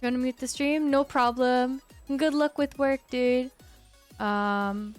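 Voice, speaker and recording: A woman's voice: sits high, fairly even intonation, takes it slowly, faint music behind. A young woman in her twenties, chatting casually on a live stream in a laid-back way, reading chat aloud.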